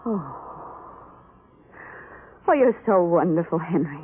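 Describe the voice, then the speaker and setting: An actress playing an adoring, anxiously clingy housewife in an old radio drama, gushing and eager to please. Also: female voice, fairly low pitch, expressive, slow, slight background noise. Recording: tinny phone-like old radio recording.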